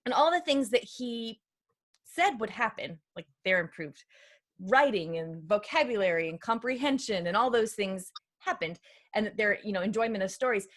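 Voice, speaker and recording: A middle-aged woman, telling personal stories as an interview guest in an animated, informal way. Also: medium pitch, moderate pace. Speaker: interview guest, author and podcaster. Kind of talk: casual conversational storytelling.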